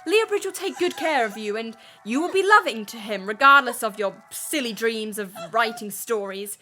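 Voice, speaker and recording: Prim, bossy young Victorian lady played by a woman, high bright voice, radio drama. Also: animated, moderate pace, soft background music. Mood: chiding. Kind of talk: period radio drama acting.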